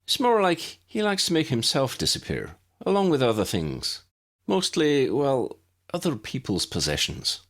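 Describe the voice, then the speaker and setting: A man's voice: medium pitch, huge pitch swings, unhurried, voice pushed up. A man voicing a friendly, chatty young Irish wizard in an audiobook, with an Irish accent and a lilting, conversational manner. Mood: friendly, candid.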